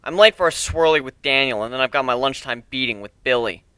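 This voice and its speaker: Bullied teen nerd narrator male reader: sardonic, bitter, punchy emphasis, clean sharp voice, dry close recording, dramatic story reading. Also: medium pitch, quick pace, smooth, dry recording, natural voice. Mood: sarcastic, irritated.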